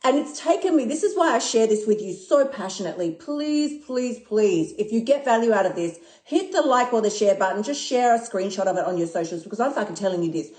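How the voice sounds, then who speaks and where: A woman in her fifties who talks excitably, swears a lot and laughs often during a casual live stream. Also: on the high side, fairly quick, hardly any pauses. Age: 50s. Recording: echoey room.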